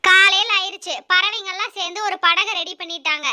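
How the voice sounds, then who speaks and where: Very high, piercingly bright female storyteller. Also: fairly even intonation, brisk. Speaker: narrator. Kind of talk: scripted children's story narration.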